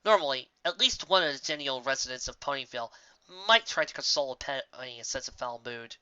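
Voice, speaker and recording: A man's voice: medium pitch, brisk, bright, forceful tone, smooth, no room sound, muffled recording. A man reading scripted story narration, now and then stumbling and rereading a word. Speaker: fan fiction reader.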